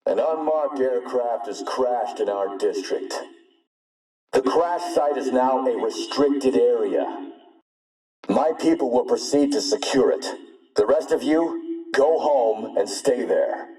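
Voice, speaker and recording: A man playing a ruthless, middle-aged militia warlord in a video game, with a rough husky voice and a commanding, threatening manner. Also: mid-range voice, slow, warm tone, no room sound, poor audio. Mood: official, commanding. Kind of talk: scripted video game character lines.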